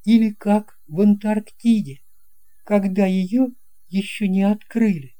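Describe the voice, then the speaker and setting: A confident boy pilot from an audio fairy tale, acted out by a narrator. The voice is pitched high and stays soft-edged and unhurried. He sounds friendly and reassuring, like someone at ease with his job. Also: lightly acted. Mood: quiet, wistful.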